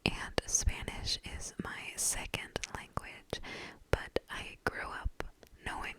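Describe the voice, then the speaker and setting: A young woman from the southern United States, softly whispering through a casual ASMR question-and-answer as the channel host. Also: rough recording. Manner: soft unvoiced whisper, slow and gentle; casual, uses "like" and "you know".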